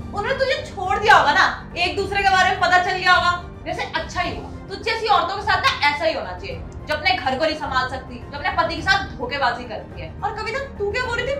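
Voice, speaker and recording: A woman's voice: very high-pitched, very animated, quick pace, hardly any pauses, pushed and bright, exaggerated voice. A young woman playing a haughty, cruel customer in a melodramatic skit, scolding with contempt. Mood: mocking, contemptuous. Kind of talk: melodramatic scripted Hindi skit acting. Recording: roomy echo, faint music behind.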